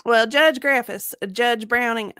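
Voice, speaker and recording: Woman playing a guarded, higher-pitched female witness. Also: a little slow, sharp tone, clean tone, dry recording, studio quality. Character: guarded, evasive female witness under deposition. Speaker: dramatic reader. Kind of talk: dramatic reading of a deposition transcript.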